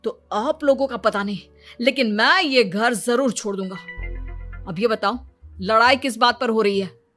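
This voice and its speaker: An exasperated young husband in a cartoon moral story, voiced by a woman. He sounds plaintive and long-suffering in a high voice, with faint music behind. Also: moderate pace, fairly bright tone, close to an everyday voice. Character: exasperated young husband caught between mother and wife.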